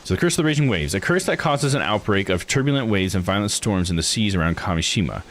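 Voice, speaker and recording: A man's voice: fairly low pitch, animated, fast, fairly bright tone, a little hiss or hum. A man live-streaming a game, reading its text aloud and commenting in a laid-back, informal way. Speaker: live-streamer playing a visual novel.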